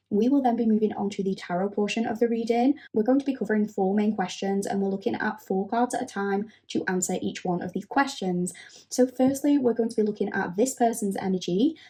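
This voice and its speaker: A woman recovering from a cold, gently and thoroughly introducing a tarot reading. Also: medium pitch. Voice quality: husky. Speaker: tarot reader.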